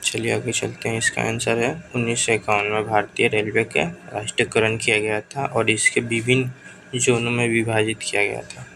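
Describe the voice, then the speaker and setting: Gravelly man with a sore throat, flat delivery. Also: mid-range voice, background noise. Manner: brisk, urges viewers to answer quickly. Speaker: teacher. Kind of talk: quiz-style exam prep lesson.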